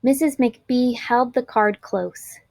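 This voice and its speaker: Woman doing a picture book read aloud, expressive n clear wording, slightly high voice, very dry recording. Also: slow, no room sound. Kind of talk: children's storybook narration.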